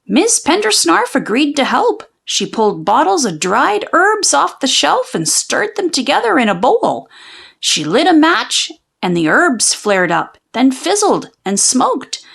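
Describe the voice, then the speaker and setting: A woman narrating a children's storybook in an expressive, folksy storytelling manner. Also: high voice, measured pace, creaky. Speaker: children's book read-aloud narrator. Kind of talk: animated storybook narration of a folksy tall tale.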